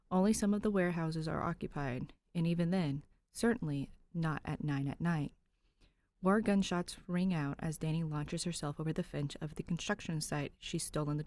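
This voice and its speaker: A woman's voice: low-pitched, darkish tone, no room sound. A woman narrating a scripted action story as an audiobook-style reader. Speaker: podfic narrator.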